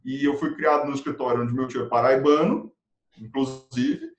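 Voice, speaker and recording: A Brazilian man speaking as a guest consultant in a live video chat, energetic and motivational, rattling off quick lists of advice. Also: mid-range voice, muffled recording. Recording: roomy, echoey room sound.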